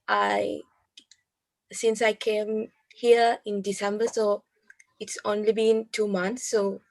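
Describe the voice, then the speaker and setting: A woman's voice: mid-range voice, low-quality recording. A woman speaking English as an international student on a video call, hesitant and apologetic, searching for words. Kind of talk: webinar talk.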